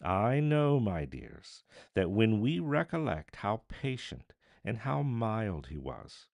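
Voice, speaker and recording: A man's voice: lowish voice, sing-song, slow, creaky, darkish tone, no room sound, heavily acted. A man voicing a kindly working-class father in a storybook, speaking earnestly and tenderly with emphatic repeated phrases. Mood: tender, moved.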